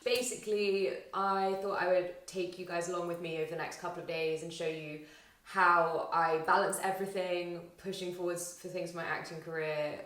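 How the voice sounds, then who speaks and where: A young woman vlogging casually to camera, chatty and rambling, her voice slightly husky and tired after a weekend out. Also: fairly low pitch, level tone, moderate pace, fairly bright tone, recorded from a distance. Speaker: vlogger and aspiring actor. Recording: roomy echo, faint music.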